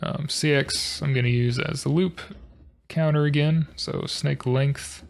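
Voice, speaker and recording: A man hosting a coding tutorial, talking through his code in a low-key, monotone way, thinking aloud with fillers. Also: unhurried, creaky. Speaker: programming tutorial host. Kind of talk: casual screen-recorded coding walkthrough.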